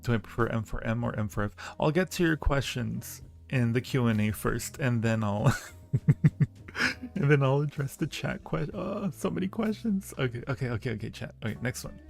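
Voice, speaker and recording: Guy streamer doing a casual q&a, teasing and flirty, bit of vocal fry. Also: mid-range voice, lively intonation, normal speed, soft background music. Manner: playful, teasing and flirty with his chat. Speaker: audio roleplay creator hosting a live Q&A. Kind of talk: casual live-stream chat, reading viewer questions.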